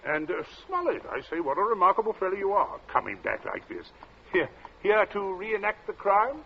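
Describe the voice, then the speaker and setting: An actor playing a genial, smoothly dissembling middle-aged gentleman in an old radio drama, hemming affably. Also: sits high, very animated, moderate pace, exaggerated voice. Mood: blustering, breezy. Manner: affable, hemming, affectedly casual. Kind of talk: golden age radio drama acting. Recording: dull, hissy old radio recording.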